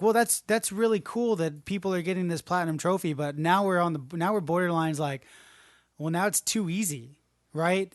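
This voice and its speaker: A man's voice: fairly high pitch, no room sound, studio quality. A man co-hosting a gaming podcast, chatting casually, rambling and swearing freely. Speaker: podcast co-host.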